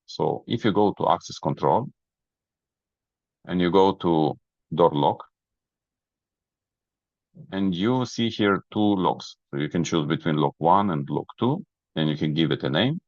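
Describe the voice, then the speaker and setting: A man who is a non-native English speaker presents a software webinar, explaining each step methodically. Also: deep voice. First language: not English. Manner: methodical, step-by-step, checks understanding. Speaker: webinar presenter, product trainer. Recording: roomy, dull lo-fi webinar audio.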